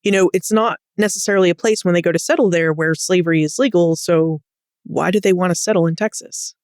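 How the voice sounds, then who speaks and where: A woman hosting a podcast interview, putting prepared questions to a guest in an articulate, measured way. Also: on the low side, a little mellow, clear, resonant tone, dry recording, clean studio sound.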